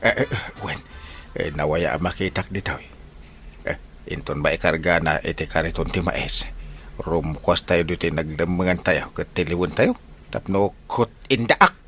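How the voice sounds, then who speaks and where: A man's voice: sits low, normal speed, fairly bright tone, slight background noise. A man playing a folksy, hesitant village captain in an Ilocano radio comedy drama. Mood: plotting.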